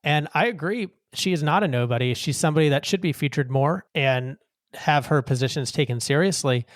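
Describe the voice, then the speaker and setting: Man, podcast co-host, fairly high voice, dead dry recording, measured talk. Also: no room sound. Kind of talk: political talk show.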